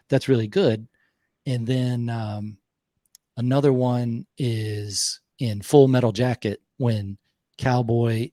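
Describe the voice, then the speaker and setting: A man with a Southern US accent hosting a podcast, talking reflectively and unhurriedly, with pauses. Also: medium pitch, warm tone, no room sound, clean studio sound. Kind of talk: casual conversation.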